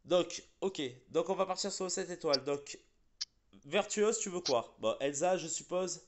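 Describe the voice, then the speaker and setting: A man's voice: high voice, normal speed. A man hosting casual gaming chat, a bit congested from being sick, rambling with fillers and restarts. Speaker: gaming channel host.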